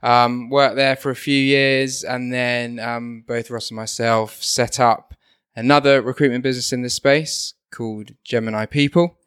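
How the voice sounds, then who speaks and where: A man talking about his work as a podcast guest, pausing often with ums and restarting his sentences. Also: mid-range voice, little pitch movement, fairly bright tone, smooth, no echo, studio quality. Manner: hesitant, full of ums and restarts. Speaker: guest, company co-founder. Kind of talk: podcast interview.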